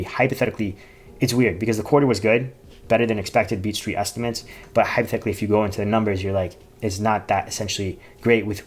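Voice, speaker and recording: A man giving informal, unscripted finance commentary, reading out figures in a halting, repetitive way and often correcting himself. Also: lowish voice. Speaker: finance YouTuber reviewing company earnings.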